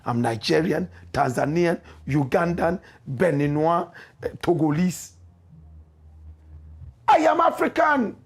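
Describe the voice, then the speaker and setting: A Ghanaian man hosting a live show, reading out viewer comments and answering them in an animated, preachy way, jumping between loud exclamations and low asides. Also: slow pace, gravelly, long pauses, slightly rough. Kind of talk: casual live-stream commentary.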